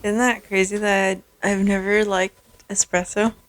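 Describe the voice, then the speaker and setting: A woman's voice: medium pitch, little pitch movement, a little slow. Woman co-host asking casual questions. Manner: asks questions, laughs easily. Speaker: podcast co-host. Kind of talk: casual chat.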